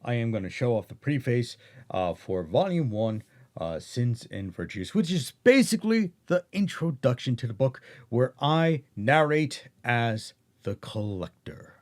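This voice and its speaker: A man giving a rambling, casual update to viewers, halting and often rephrasing himself as host and narrator. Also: mid-range voice, big pitch swings, a little mellow. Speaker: audiobook narrator and channel host.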